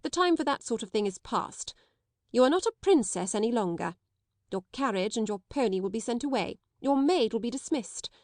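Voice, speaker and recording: A harsh, domineering schoolmistress, put on by a woman reading aloud, scolding in a cold, sharp, raised voice that pushes higher and louder than the reader's own. The sound is close and dry, with no room around it. She talks down to her listener like someone used to being obeyed. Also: expressive, moderate pace, no room sound. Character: stern domineering middle-aged woman schoolmistress. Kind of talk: audiobook character voice.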